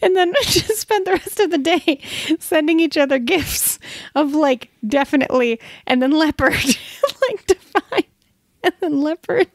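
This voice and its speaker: A woman in her thirties chatting casually as a podcast co-host, giggly and animated in her storytelling. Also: sits high, unhurried, no echo, clean studio sound. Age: 30s. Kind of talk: casual chat.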